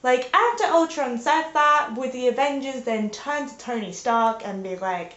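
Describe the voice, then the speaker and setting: A young woman chats at home in a loose, rambling vlog style full of "like"s and playful bursts. Her voice sits a bit high and sounds congested from a sinus infection. The recording has a noticeable roomy echo. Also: moderate pace, a bit bright, lo-fi sound. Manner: rambling, peppered with "like", playful exclamations. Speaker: vlogger. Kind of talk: casual chatty video talk. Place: indoors at home.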